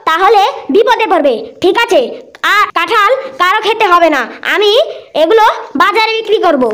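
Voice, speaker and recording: A woman's voice: very high voice, moderate pace, a bit bright. A woman voicing a brash, scheming young female fox in a cartoon, bold and chatty. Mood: defiant.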